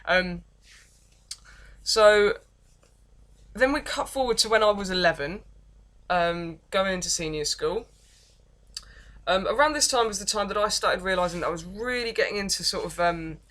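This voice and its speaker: A young woman with an English accent and a deep voice, telling her life story in a rambling, self-deprecating vlog with many false starts. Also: a bit bright. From England. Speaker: vlogger. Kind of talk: casual confessional vlog, reading from notes.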